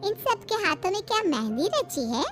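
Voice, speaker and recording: Woman playing a showy, high-pitched rich mother-in-law. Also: very animated, normal speed, a bit bright, faint music behind, exaggerated voice. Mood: boastful, haughty. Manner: grand, showy, breezy. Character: boastful, rich, forgetful older mother-in-law. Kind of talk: animated moral-story acting.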